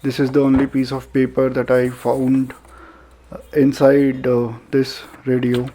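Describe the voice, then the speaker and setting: Indian male reviewer, mellow and unhurried, hesitant delivery with lots of pauses, for a casual unboxing video. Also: mid-range voice, completely flat delivery, a little hiss or hum. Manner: halting, hesitant delivery with frequent filler pauses. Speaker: product reviewer.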